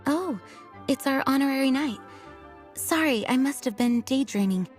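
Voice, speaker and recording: A woman's voice: sits high, animated, moderate pace, faint music behind. A woman voicing an exhausted, dutiful knight leader who speaks formally and courteously, for a game. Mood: weary. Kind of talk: game voice acting.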